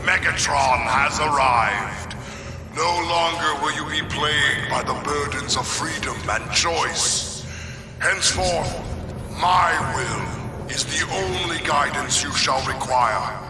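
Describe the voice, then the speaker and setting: Pompous robot tyrant, booming echo. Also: male voice, expressive. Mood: pompous, commanding. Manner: pompous, slow, menacing oratory. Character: pompous tyrannical robot warlord addressing conquered citizens. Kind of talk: dramatic video game villain acting. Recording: echoey hall reverb, music behind.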